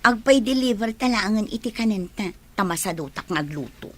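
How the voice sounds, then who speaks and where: A woman's voice: mid-range voice, lively intonation, brisk, a bit bright. A woman playing a lazy, newly rich schemer, smug and gloating in a theatrical radio drama style. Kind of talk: Filipino radio drama acting.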